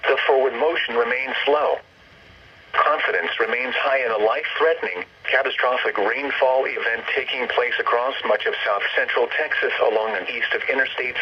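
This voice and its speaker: A synthetic male voice reading a scripted weather bulletin over the radio in a formal, impersonal, list-like way. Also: medium pitch. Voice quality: synthetic text-to-speech voice. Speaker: automated weather radio announcer. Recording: dull lo-fi radio broadcast, faint noise.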